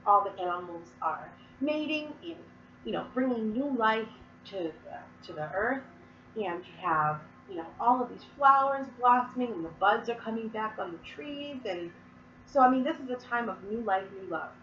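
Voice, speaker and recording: A woman's voice: fairly high pitch, animated, moderate pace, a bit bright, echoey room, recorded from a distance, dull audio, slight background noise. A woman from Massachusetts explaining Wiccan verses in casual, rambling talk full of fillers, sniffling and coughing from a cold. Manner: chatty, rambling with many fillers. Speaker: Wiccan teacher.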